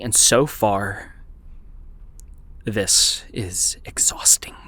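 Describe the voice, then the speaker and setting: Man acting a slow, low, haunted journal keeper. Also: no room sound. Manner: halting, hushed, deliberate with dramatic pauses. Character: Isaac Andrews, weary obsessive man recording a private audio journal. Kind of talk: horror audio drama monologue. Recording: music behind the voice.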